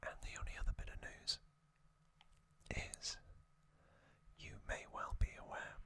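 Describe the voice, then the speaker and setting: An ASMR host whispering trivia narration slowly and deliberately in short phrases, with a voice slightly strained from singing. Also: pushed and bright. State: voice slightly off after singing hard.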